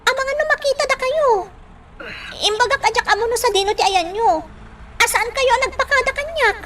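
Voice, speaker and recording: An anxious young daughter in a radio drama, pleading and worried. Her voice is extremely high. It is picked up a little way from the microphone, with slight noise behind it. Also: brisk, pushed and bright. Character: worried grown daughter cautioning her mother. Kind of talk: Ilocano radio drama acting. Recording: distant microphone with faint background noise.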